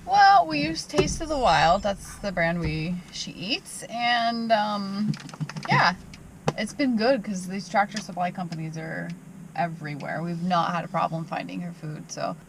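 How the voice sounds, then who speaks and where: A woman's voice: mid-range voice, animated, measured pace, noisy background. Giggly chatty woman vlogger. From United States. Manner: chatty, giggly, self-deprecating. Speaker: van life vlogger. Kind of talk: casual travel vlog chat.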